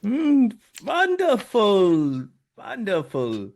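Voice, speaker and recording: An actor playing a harmless, adorable baker in his eighties, gentle and a little wistful, at a script table read. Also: mid-range voice, wildly swooping pitch, drawn-out words, exaggerated voice. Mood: pleased. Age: 80s.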